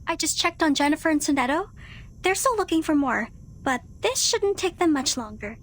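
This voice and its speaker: Very high, eager young girl. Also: lively intonation, normal speed, fairly bright tone. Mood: eager, curious. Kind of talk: English video game character acting.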